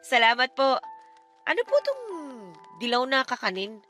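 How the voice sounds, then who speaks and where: Sweet, bright-voiced young Filipina daughter. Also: female voice, sits high, wildly swooping pitch, normal speed, soft background music, exaggerated voice. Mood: curious, warm. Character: sweet, hopeful grown daughter, respectful to her mother. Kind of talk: Filipino radio drama acting.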